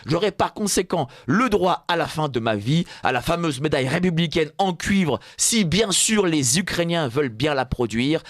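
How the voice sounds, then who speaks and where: A man with a French accent delivers a mock-solemn, sarcastic radio commentary in a fairly high voice. He often laughs at his own jokes. The studio sound is close and dry, with no room echo. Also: animated, normal speed, few pauses. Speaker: radio columnist.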